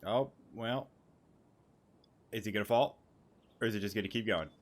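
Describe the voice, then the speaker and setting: A man's voice: on the low side, normal speed. A man giving casual, rambling gameplay commentary as a streamer, repeating words and swearing casually. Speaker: gaming streamer. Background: game music.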